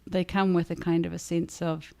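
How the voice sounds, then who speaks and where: Low, creaky-voiced woman, even delivery. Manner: thoughtful, halting, conversational. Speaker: author and livestream co-host. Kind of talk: casual livestream chat, answering audience questions.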